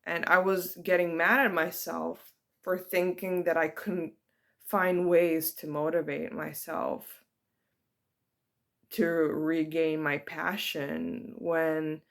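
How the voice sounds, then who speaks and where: A woman giving a personal spiritual reflection to her viewers. She speaks in a hesitant, soft-spoken and heartfelt way, with many ums. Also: deep voice, little pitch movement, takes it slowly, creaky. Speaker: spiritual vlogger.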